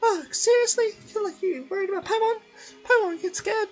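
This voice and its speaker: A man voicing a tiny, excitable fairy companion from a game in a squeaky, exclaiming voice. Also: fairly quick, faint music behind. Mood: indignant, teasing. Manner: shrill, exclaiming.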